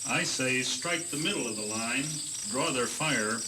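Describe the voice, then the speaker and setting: An actor playing a forceful Confederate officer in a dramatized historical audio piece. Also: male voice, medium pitch, measured pace, close to an everyday voice. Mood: forceful, bold. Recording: noisy tape with insect ambience.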